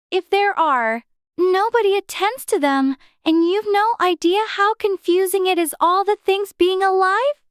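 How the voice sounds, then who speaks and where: A very high, girlish voice, acted by a woman, prim and precise in its diction. She is a polite, plucky little girl from a storybook audiobook, recorded close and dry. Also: no echo. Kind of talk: dramatized storybook audiobook acting.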